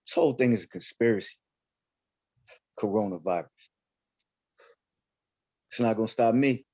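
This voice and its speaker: A man's voice: lowish voice, normal speed, mellow, pitched below the performer's own voice, close to an everyday voice. A man reading the part of a pushy, ranting boxing coach in a film script table read, emphatic and commanding. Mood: disgusted ranting. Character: ranting, pushy male boxing coach, conspiracy-minded, gruff. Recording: dull, lo-fi video call.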